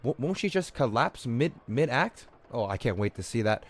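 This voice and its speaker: Animated, blunt male game streamer. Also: medium pitch, moderate pace, husky. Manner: informal, swearing, blunt. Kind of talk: casual live game commentary. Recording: faint game music behind.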